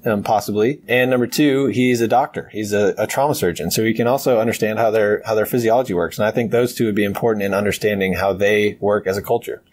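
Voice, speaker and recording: A man's voice: on the low side, a little hiss or hum. A man co-hosting a podcast, arguing his pick in a casual debate with confidence and frequent laughs.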